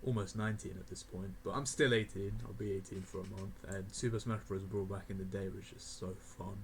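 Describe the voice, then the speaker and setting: An eighteen-year-old man rambling casually through a game tier list, laughing often and filling pauses with ums and uhs. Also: low-pitched, fairly even intonation, creaky, a crowd in the background, poor audio. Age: young adult (18). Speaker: gaming video creator.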